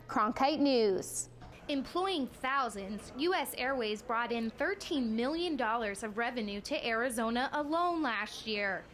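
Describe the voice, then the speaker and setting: A woman's voice: high voice, slight background noise. An American woman in her twenties delivering scripted television news narration as a reporter, in a polished, emphatic way. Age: 20s.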